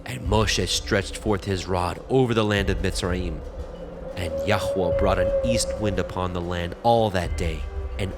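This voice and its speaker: A man narrating scripture from a script in a measured, formal manner. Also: sits low.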